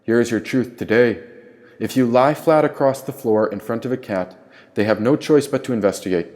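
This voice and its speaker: A man's voice: medium pitch, moderate pace, a little mellow, lightly acted. A man voicing a wise, athletic opossum mentor in an audiobook, earnest and proclaiming, with grand philosophical turns. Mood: solemn, instructing.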